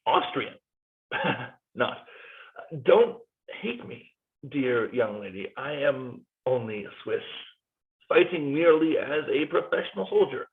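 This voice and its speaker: A man's voice: lively intonation, takes it slowly. A man reading a jittery, wry Swiss mercenary. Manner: nervous, wry and pleading, with jumpy pitch leaps. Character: weary, jittery Swiss mercenary soldier, middle-aged man. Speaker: cast reader in a play reading. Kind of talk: cold-read stage play reading. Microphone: video call. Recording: tinny phone-like video call audio.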